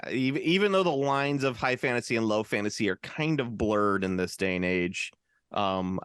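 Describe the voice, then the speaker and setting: Lively, rambling male podcast host. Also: on the low side, no echo, clean studio sound. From United States. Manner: rambling, jokey, lots of restarts and fillers. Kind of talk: casual chat.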